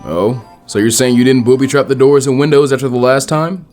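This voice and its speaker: A man voicing a gruff, unbothered older male ninja mentor in fan fiction, with dry, grumbling banter. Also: mid-range voice, brisk, darkish tone, faint music behind, lightly acted. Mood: dry teasing.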